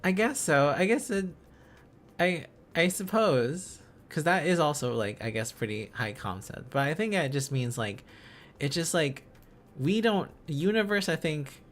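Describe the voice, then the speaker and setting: A man chatting casually as a livestream host, musing aloud in halting, repeated phrases. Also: on the high side, lively intonation. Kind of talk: casual livestream chat while learning a language.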